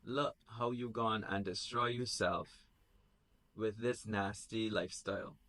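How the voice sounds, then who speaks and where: A man reading aloud, voicing a soft-spoken, placating man in a low, quiet voice. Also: unhurried. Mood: subdued.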